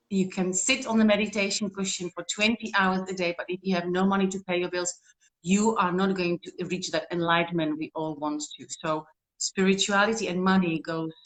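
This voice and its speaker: A woman's voice: fairly low pitch, low-quality recording. A woman, a guest on a video call, talking earnestly and rambling with frequent restarts. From Czech Republic. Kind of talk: casual interview talk.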